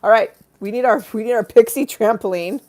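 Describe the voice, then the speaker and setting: A woman's voice: on the high side, brisk, darkish tone, low-quality recording. A woman hosting a rambling comic live stream, quirky, giggly and bawdy, theatrically drifting into asides. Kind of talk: rambling comic livestream chat.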